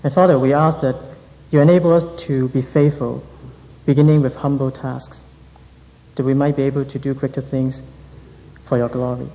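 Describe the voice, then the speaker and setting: Man, earnest prayer leader, measured cadence, mellow tone, reverberant hall. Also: mid-range voice, little pitch movement. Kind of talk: interpreting a sermon, then leading prayer.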